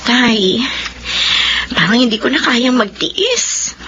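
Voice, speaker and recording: An actress playing a long-suffering wife in a radio drama, earnest and pleading. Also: female voice, on the high side, sing-song, slow pace, pushed and bright, full character voice. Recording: lo-fi with faint hiss.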